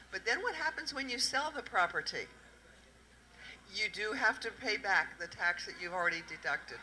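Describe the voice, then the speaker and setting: A middle-aged woman with a Southern US accent, a tax accountant giving a lively talk at an indoor event and quizzing the audience through a handheld microphone. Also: mid-range voice, low-quality recording. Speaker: tax accountant presenting at a panel. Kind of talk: interactive talk to a live audience.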